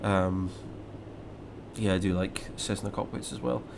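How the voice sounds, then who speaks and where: A man's voice: low-pitched, fairly even intonation, a little slow, creaky, a little mellow, slight background noise. Scottish man chatting on a live stream. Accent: Scottish, mixed with English. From Scotland. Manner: rambling, with many fillers and restarts. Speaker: flight sim live streamer. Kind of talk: casual live-stream chat, reading viewer comments aloud.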